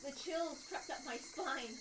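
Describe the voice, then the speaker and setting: A woman reading first-person visual novel narration dramatically, in a tense, deliberate and emotive way. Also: high-pitched, background noise. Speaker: reader on a stream. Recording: roomy, distant mic, music behind.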